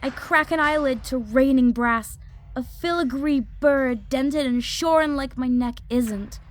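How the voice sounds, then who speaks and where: Young hot-headed battle mage, acted by a woman: high voice, slow dramatic first-person narration, intense and desperate, clipped phrases. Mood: pained, struggling.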